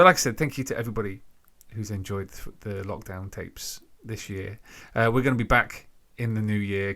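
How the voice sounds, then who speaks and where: A man hosting a podcast, giving an informal introduction in a halting way, thinking aloud between phrases. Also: mid-range voice, a bit bright. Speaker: podcast host.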